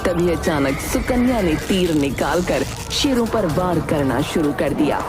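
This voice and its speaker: A woman's voice: low voice, lively intonation, a little slow, over loud music. A woman reading scripted, dramatic story narration as a storyteller.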